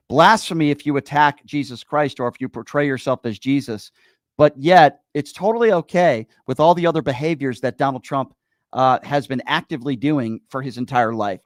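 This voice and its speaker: A man's voice: mid-range voice, no room sound. Blunt male talk radio host, dry close sound. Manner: blunt, confrontational. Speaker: radio call-in show host. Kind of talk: opinionated political talk radio.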